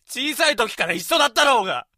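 Man acting as a high, husky hot-headed boy. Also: big pitch swings, fast, sharp tone, no echo, studio quality, full character voice. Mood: angry, hurt. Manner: confrontational. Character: hot-headed, protective high school boy, furious at old friend. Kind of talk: Japanese voice drama acting.

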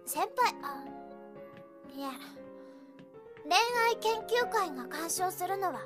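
A woman playing an earnest, scolding high school girl in an audio drama, polite but blunt and nagging. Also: animated, slow pace, music in the background, full character voice. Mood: earnest, reproachful. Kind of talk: anime-like Japanese audio drama acting.

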